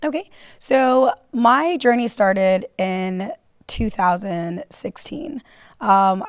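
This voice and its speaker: A woman from Florida talks as a guest on a conference panel, middle-pitched and dry. She tells her story at length and fills her pauses with ums. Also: no echo. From Florida, United States. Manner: talkative, storytelling with fillers. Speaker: panel guest, operations manager. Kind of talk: conversational stage panel. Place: conference stage.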